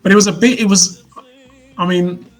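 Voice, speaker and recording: A man in his fifties, a musician guest, reflecting on his life in relaxed, hesitant interview talk. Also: high-pitched, normal speed. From United Kingdom. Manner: reflective storyteller, hesitant restarts. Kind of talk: casual interview chat.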